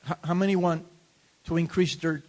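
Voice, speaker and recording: A man from Cuba preaching in English through an interpreter, emphatic and building to loud peaks. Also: on the high side, steady tone, unhurried. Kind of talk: bilingual sermon with interpreter.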